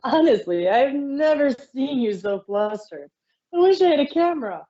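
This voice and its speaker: A woman's voice: high voice, very animated, moderate pace, dark tone, lo-fi sound. A woman voicing a smug, teasing male chaos spirit in an amateur fan fiction reading, with a mocking manner. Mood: smug, amused.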